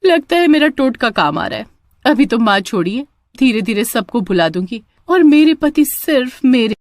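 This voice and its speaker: A woman's voice: a little high, very animated, normal speed, no echo, pitched above the performer's own voice. A woman playing a scheming, possessive witch daughter-in-law who gloats and mutters menacingly in a horror-comedy story. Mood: possessive, gloating.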